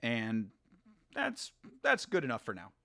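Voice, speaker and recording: A man's voice: mid-range voice, wildly swooping pitch, unhurried, creaky, sharp tone, dry recording, studio quality. A man hosting a casual live watch-along, talking in a rambling, laid-back way, swearing, laughing often and answering viewer comments. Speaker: host.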